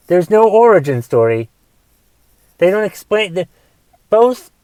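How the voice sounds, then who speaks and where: A man in his forties with a raspy voice from a cough, casually rambling through a movie review on his podcast and thinking aloud with lots of fillers. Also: fairly high pitch, darkish tone, no room sound. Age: 40s. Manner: thinks aloud, musing questions, trailing fillers. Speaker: podcast host.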